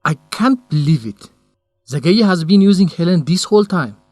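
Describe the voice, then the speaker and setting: Higher-voiced caring young man. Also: lively intonation, a little mellow, no room sound, soft background music. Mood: dismayed, sympathetic. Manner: deliberate, simply phrased, sympathetic. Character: caring, concerned young male research companion and friend. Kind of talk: English-learning radio drama acting.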